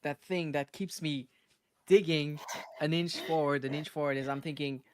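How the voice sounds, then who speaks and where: A man's voice: fairly high pitch, fairly even intonation, moderate pace, no room sound, clean studio sound. A man from North Africa and France hosting a casual live interview, enthusiastic and repeating phrases for emphasis. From born in North Africa, grew up in France. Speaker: live show host, startup advisor. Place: live online stream.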